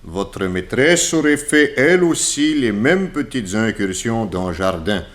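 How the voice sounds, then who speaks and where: An actor playing a suspicious, self-assured detective inspector in a 1960s French radio drama, putting clipped questions. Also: male voice, mid-range voice, lively intonation, a little slow, fairly bright tone. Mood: accusing.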